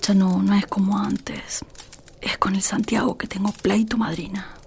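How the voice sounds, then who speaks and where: Troubled village mother, earnest and plaintive, gravelly edge, northwestern Argentine accent, radio play, faint noise. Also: mid-range voice, level tone, measured pace, a little mellow. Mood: distressed. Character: troubled rural mother, humble and earnest goddaughter. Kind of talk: radio drama acting.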